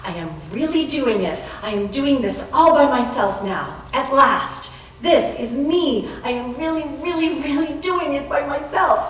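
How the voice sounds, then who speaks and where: A woman's voice: sits high, expressive, a little slow. A woman performing a fragile, desperate young woman in a dramatic readers theater piece, earnest and emotive. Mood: defiant elation. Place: large library room, live staged reading. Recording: distant echoey room, noisy live recording.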